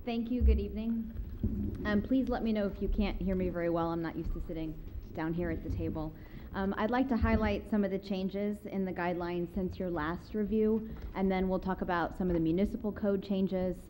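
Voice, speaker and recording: A woman's voice: medium pitch, fairly even intonation, fairly quick, noisy background. A woman on city planning staff presenting at a public meeting, careful and explanatory, with frequent fillers. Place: council chamber, seated at a table.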